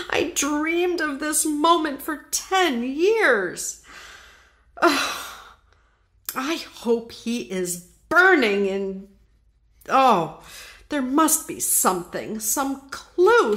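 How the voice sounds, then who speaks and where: A woman's voice: high voice, a bit bright, heavily acted. A woman playing a greedy, cold-hearted widow in an old-time radio drama, with a haughty, imperious way of talking. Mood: frantic.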